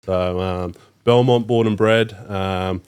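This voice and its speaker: A man's voice: low voice, fairly even intonation, takes it slowly, darkish tone, smooth. A man in his thirties with an Australian accent, a guest on a podcast, talking in a laid-back way with lots of fillers and casual swearing. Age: 30s. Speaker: podcast guest, youth worker. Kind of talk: casual interview chat.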